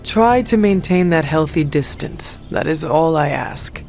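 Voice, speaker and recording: A man playing a calm, candid counselor and loyal friend in a video game, speaking formally and earnestly in measured phrases. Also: fairly high pitch, vocal fry, narrow, tinny audio, soft background music. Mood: calm, wry.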